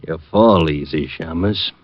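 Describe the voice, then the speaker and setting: Deep, slow, menacing thug. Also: male voice. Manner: slow, taunting. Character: menacing male thug, cold and taunting. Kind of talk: 1950s radio drama acting. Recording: dull old radio recording.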